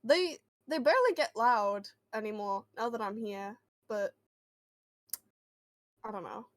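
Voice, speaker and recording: A guarded but friendly boy, played by a woman, fairly high in pitch and unhurried. The delivery is halting and reassuring, improvised for a tabletop game and recorded dry. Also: expressive, no room sound. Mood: awkward, friendly. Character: friendly, guarded boy who hears voices.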